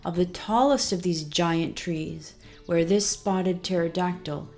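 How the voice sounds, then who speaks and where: A woman reading a scripted children's story as narrator, in an expressive, deliberate storytelling manner. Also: fairly low pitch, soft background music.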